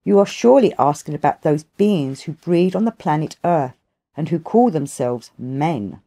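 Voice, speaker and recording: A woman voicing a wise, ancient grandfather in an audiobook, telling his tale in a formal, measured, long-winded way. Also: sits low, expressive, warm tone. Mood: amused.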